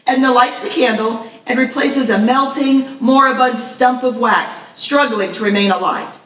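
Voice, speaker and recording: A woman with a fairly high voice narrates a screenplay in a scripted read. She sounds slightly distant, in an echoey room. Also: normal speed, a bit bright. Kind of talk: scripted stage-direction reading at live table read.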